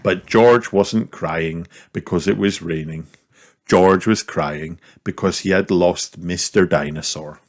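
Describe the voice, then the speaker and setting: Slow, expressive male storybook reader. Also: sits low. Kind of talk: scripted children's story narration.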